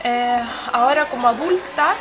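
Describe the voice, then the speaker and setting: A woman's voice: on the high side, moderate pace, poor audio. An adult woman from Chile, talking in a casual interview, hesitant with many fillers and restarts.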